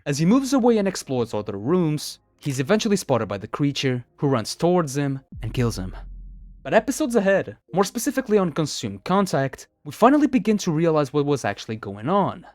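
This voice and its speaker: A man's voice: on the high side, very animated, a little fast, a little mellow. A man reading scripted narration, retelling stories as the narrator of a video. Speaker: narrator of a horror video countdown. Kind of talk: scripted narration, storytelling recap.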